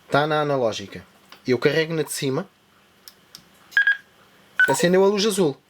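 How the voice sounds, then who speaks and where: A man's voice: a little high, animated, moderate pace, noisy background. A man with a European Portuguese accent hosting a tech channel, walking viewers through a device setup step by step as he demonstrates it. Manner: step-by-step explaining while demonstrating. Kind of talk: hands-on device tutorial.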